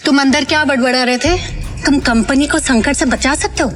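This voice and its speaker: A woman acts as a protective office staffer with a high voice. She is firm, sympathetic and matter-of-fact, in audio-drama style over faint music. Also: moderate pace, natural voice. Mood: confiding, practical. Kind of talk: Hindi audio drama acting.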